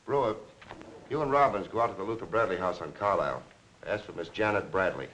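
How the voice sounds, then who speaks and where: A man's voice: low-pitched, fast talker, fairly bright tone. A man playing a curt, businesslike police lieutenant in a 1940s crime film, with a clipped, authoritative manner. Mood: brisk, commanding. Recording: noisy old 1940s film soundtrack.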